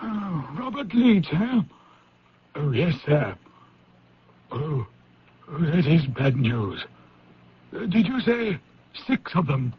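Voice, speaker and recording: Dismayed, deferential official; slow, halting, exclaiming. Old radio play, muffled with background noise. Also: male voice, on the high side, sing-song. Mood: shaken, dismayed. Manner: halting, muttering with sighs and exclamations. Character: flustered, dismayed male intelligence official reporting to a superior. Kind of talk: old-time radio drama acting. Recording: dull, noisy old radio recording.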